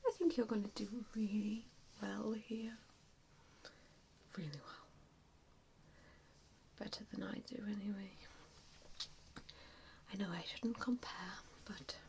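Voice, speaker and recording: A woman whispering an ASMR roleplay as a gentle vampire mentor, with a hesitant, reassuring and softly spoken manner. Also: medium pitch, steady tone, a little slow, gravelly, long pauses, slight background noise, low-quality recording. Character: gentle, self-doubting female vampire mentor to new recruits.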